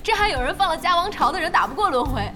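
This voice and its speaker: Casting: playful young woman boss, high animated voice, inquisitive, radio drama. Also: fast talker. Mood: amused. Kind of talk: Chinese radio drama acting. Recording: studio voice over faint music.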